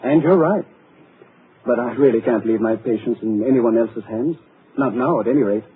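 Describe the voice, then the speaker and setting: An actor playing a tired, patient asylum doctor who speaks calmly and soothingly in a 1940s radio drama. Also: male voice, mid-range voice, moderate pace, lightly acted. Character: weary middle-aged male asylum doctor, patient and firm. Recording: muffled lo-fi 1940s radio broadcast with hiss.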